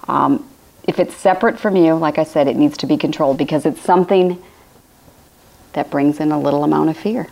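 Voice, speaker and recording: A woman speaks in a low, raspy voice that keeps cracking. She gives a spiritual talk on a live stream from home, circling back over her points and restarting her sentences as she goes. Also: fairly quick, darkish tone, rough recording. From Illinois, USA. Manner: rambling, repetitive phrasing with frequent restarts. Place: home room with a fireplace, indoors.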